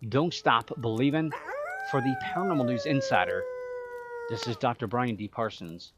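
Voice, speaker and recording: A man with a middle-pitched voice hosts a radio show. He talks in a deliberate way, setting out his scripted lines phrase by phrase. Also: huge pitch swings, noisy background. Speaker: paranormal news show host.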